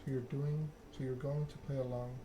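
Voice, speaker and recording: Menacing male vampire enforcer read by a man: formal, cold, flat delivery, mid pitch, noisy recording. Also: lightly acted. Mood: threatening. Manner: measured monotone recitation, veiled threats. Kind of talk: video game dialogue read aloud.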